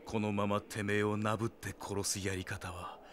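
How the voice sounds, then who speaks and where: An actor playing a tough, cool, vengeful young fighter in a Japanese audio drama, terse, taunting and quietly menacing. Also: male voice, fairly low pitch, little pitch movement, normal speed, a little hiss or hum, lightly acted. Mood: cold, menacing.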